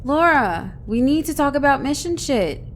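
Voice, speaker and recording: A crude, self-assured mercenary captain, voiced by a woman, who swears without a second thought. Her voice sits a little high. A faint hum runs underneath. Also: expressive, normal speed. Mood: blunt. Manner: blunt, swears casually. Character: brash female mercenary captain, crude and sure.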